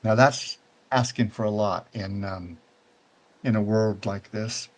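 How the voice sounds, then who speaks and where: A man's voice: fairly low pitch, takes it slowly. A retired older man hosting a casual show, touring a virtual world and chatting in a rambling, conversational way, often checking in with the listener. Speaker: show host.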